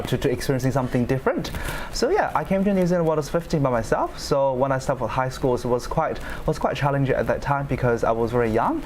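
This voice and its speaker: A man's voice: mid-range voice, a little hiss or hum. Earnest young man from China. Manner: earnest, steady, with small grammar slips. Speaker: law student panelist. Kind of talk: panel discussion answers.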